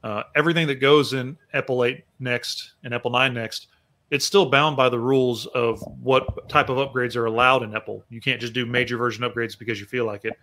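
A man co-presenting over a video call, explaining technical points in a measured way and adding follow-up remarks. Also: mid-range voice, steady tone, sharp tone.

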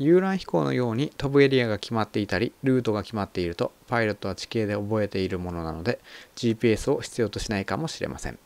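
A man calmly walking through the instruments of a small plane's cockpit in a methodical voice-over. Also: mid-range voice, gravelly, no room sound. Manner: calm, methodical, explanatory. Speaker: flight instructor explaining cockpit. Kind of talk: explanatory voice-over narration.

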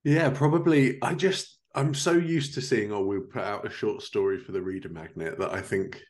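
A man's voice: fairly low pitch, moderate pace. A man in his thirties chatting casually as a podcast host on a video call from home. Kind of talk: casual interview chat. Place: living room at home, indoors, on a video call.

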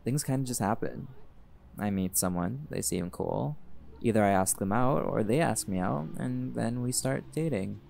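A man's voice: on the low side, animated, normal speed, vocal fry, a little mellow, a little hiss or hum. An actor voicing a laid-back, teasing male jaguar shifter in an audio roleplay, blunt and flirtatious, with music playing behind.

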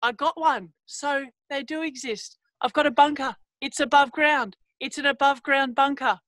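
A cheery, clueless Australian prepper rambles in a chummy way, as a woman plays her. Her voice is high and forceful with a strong Australian accent. The sound is close and dry, over a video call. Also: steady tone, moderate pace, no room sound. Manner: chummy, rambling, calls everyone mate. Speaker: guest. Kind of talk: improvised comedy character. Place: outdoors.

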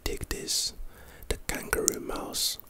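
A man with a London accent doing casual ASMR commentary, reading and reacting to trivia in a rambling, playful, slangy way. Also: slow pace. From London, England.

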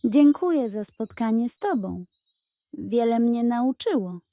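A small boy puppy from a children's storybook, acted out by a woman. The voice is high and soft-toned, with an earnest, humble, apologetic manner, eager to learn. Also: very animated, a little slow, no room sound, clean studio sound. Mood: grateful.

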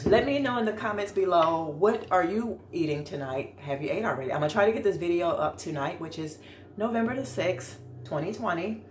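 The voice is a middle-aged American woman's, pitched a little low. She talks casually and directly to viewers as she hosts a home cooking vlog. The kitchen sounds clearly echoey, with slight noise behind her. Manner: informal, chatty, addressing viewers directly. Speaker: vlog host. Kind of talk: casual cook-along vlog. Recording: echoey room with background noise.

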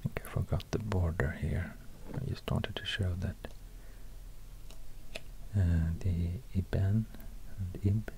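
A man with a Swedish accent giving soft-spoken, whispered ASMR in a hushed, halting way, close to a binaural microphone. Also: low-pitched, steady tone, background noise. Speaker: ASMR host.

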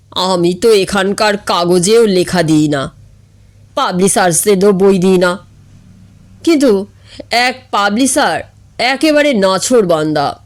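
A woman reading a storybook part as a boastful, self-important middle-aged man who brags about himself. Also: mid-range voice.

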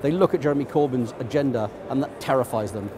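A middle-aged man reporting on location at a conference venue, in a dry, measured analytical way. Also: medium pitch, background noise. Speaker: political commentator and reporter. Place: busy conference venue indoors.

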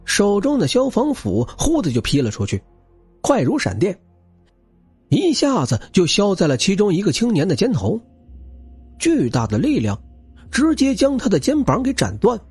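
A man's voice: high-pitched, huge pitch swings, moderate pace, darkish tone, slightly rough, no echo. A man narrating an audiobook novel in a dramatic, scripted storytelling style, with music behind him. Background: background music.

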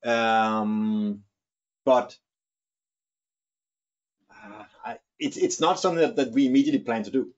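Danish-accented man, halting and careful. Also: medium pitch, a little mellow, dull audio. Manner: hesitant, restarts phrases. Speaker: camera company expert. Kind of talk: webinar discussion. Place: studio with large screen.

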